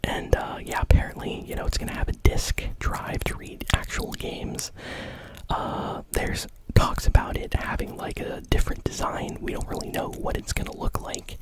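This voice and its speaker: An ASMR host whispering gaming news in a rambling, informal way. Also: rough recording.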